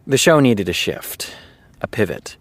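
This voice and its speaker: A man playing a measured, self-assured television writer in a naturalistic audio drama. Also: fairly low pitch, very animated, no room sound. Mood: matter-of-fact.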